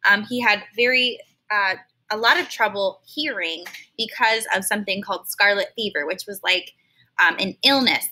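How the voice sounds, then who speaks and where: A woman reading a children's story aloud like a teacher, expressive and stopping now and then to explain words in simple terms. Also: mid-range voice, low-quality recording.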